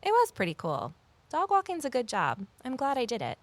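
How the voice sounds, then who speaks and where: A practical, watchful woman in an audio drama. Her voice is on the high side and moves widely in pitch, while her wording stays plain and a little reserved. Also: huge pitch swings, a little fast. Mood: modest.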